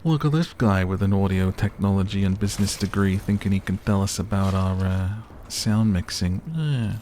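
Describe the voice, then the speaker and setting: A soft-spoken man giving gentle, chatty, relaxed ASMR commentary over a game, as the host of the video. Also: sits low, moderate pace, slight background noise. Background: game music.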